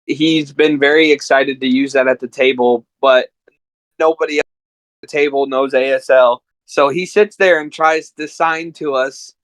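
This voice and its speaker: A man's voice: medium pitch, steady tone, normal speed, a bit bright, dry recording, rough recording. A man chatting casually as a podcast guest, telling stories in a rambling, enthusiastic way. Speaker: podcast guest and amateur voice actor. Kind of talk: casual chat.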